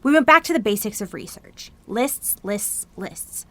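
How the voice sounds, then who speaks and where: A woman as a cheeky, lively nerdy teen girl. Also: mid-range voice, unhurried, lightly acted. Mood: boastful, animated. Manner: flippant, teasing, slangy. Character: cheeky, boastful nerdy teenage girl best friend. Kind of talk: audio drama banter.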